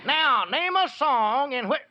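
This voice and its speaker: Flustered country professor, muffled noisy radio. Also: male voice, very high voice, animated, measured pace, a loud crowd. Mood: muddled, fussy. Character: folksy, flustered male country fellow posing as professor. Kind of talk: 1940s rural radio comedy acting. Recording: noisy, dull old radio recording.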